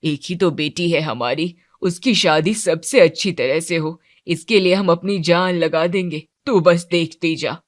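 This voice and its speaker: A woman voicing a mild, agreeable middle-aged father in a cartoon moral story, speaking in a measured, conciliatory way. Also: fairly low pitch, no echo, clean studio sound, natural voice. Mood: devoted.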